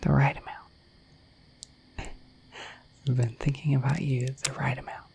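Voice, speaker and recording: A woman voicing a shy, affectionate, dorky dragon in a bashful, hesitant breathy whisper for an ASMR roleplay. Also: very deep voice, flat delivery, takes it slowly, a little mellow, slight background noise, heavily acted. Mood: flustered, affectionate. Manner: bashful, hesitant, apologetic, rambling.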